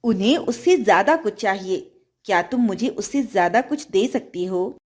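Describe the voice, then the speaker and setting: A kind, obedient storybook boy who speaks politely and sincerely, voiced by a woman narrator. His delivery is mild yet expressive in its rise and fall. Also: mid-range voice, normal speed, pitched below the performer's own voice, natural voice. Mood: hesitant request. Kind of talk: children's storybook dialogue.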